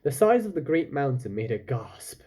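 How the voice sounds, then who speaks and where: A man's voice: mid-range voice, very animated, normal speed, dark tone, husky. A man reading a children's book aloud expressively, stopping now and then for casual, chatty asides to his listeners. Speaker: storyteller, reader.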